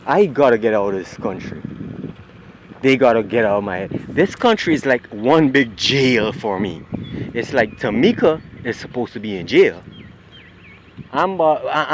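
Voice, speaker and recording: A man rambling in an agitated, profane monologue while walking outdoors on a city street. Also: medium pitch. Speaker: vlogger. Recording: street traffic noise behind.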